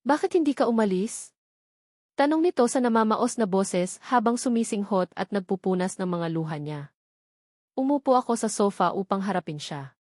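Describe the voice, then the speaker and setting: Female Filipino storyteller, mid-pitched, emotive and sorrowful, for romance novel narration in a dry recording. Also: lively intonation, normal speed, darkish tone, no room sound. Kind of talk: dramatic first-person romance novel narration.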